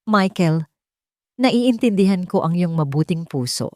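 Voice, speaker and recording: Woman narrator as cold rich matriarch, Filipino accent, condescending, melodramatic, low voice, audio drama. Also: expressive, unhurried, warm tone, no echo, clean studio sound. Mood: cold, stern. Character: haughty, cold rich older mother, image-obsessed matriarch.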